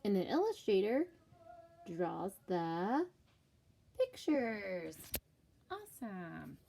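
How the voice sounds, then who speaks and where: A woman teacher reading a children's storybook aloud in an expressive way, with deliberate emphasis. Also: mid-range voice, creaky, slight background noise.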